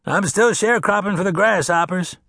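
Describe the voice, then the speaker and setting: A man puts on a cranky old Texas farmer, grumbling and dry-witted, his voice pushed up high. The sound is close and dry, with no room around it. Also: fairly quick, vocal fry, bright, forceful tone, no echo, full character voice. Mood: gruff.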